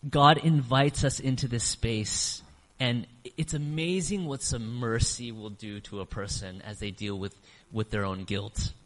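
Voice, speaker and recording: Man, pastor preaching, earnest, slows for emphasis, mid pitch, long church reverb. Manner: earnest, varies emphasis, slows on key phrases. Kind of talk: sermon. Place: church sanctuary.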